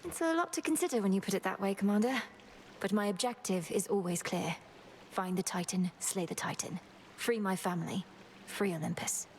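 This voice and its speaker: Woman as an earnest young witch goddess, creaky edge. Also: mid-range voice, brisk, a little mellow, a little hiss or hum, close to an everyday voice. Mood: dry, resolute. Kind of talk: theatrical video game dialogue.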